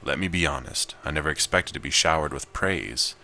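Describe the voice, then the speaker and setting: A man's voice: a bass voice, a little fast, sharp tone. A man reading a visual novel aloud as he plays it, with casual commentary. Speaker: gamer narrating his gameplay.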